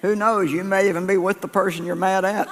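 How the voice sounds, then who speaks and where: A man's voice: high-pitched, little pitch movement, fairly quick, background noise. An older man preaching a sermon to a live congregation in a folksy, conversational way, often restarting phrases and repeating points. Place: large church sanctuary. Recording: echoey church hall.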